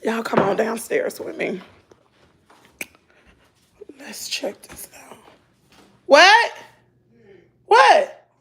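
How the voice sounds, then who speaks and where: A middle-aged woman with a Southern US accent, chatting hoarsely to her viewers at home on a phone livestream. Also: a little high, sing-song, drawn-out words, pauses often, fairly bright tone. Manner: chatty, laughing, drawling, talks to "y'all". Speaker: vlogger on a morning livestream. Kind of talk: casual chat with viewers. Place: at home indoors.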